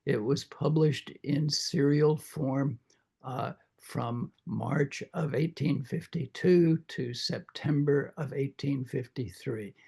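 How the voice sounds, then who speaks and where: An older man with a croaky voice after throat surgery, lecturing informally to a reading group and pausing often with many uhs and restarts. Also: medium pitch, darkish tone. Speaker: literature professor leading a reading group.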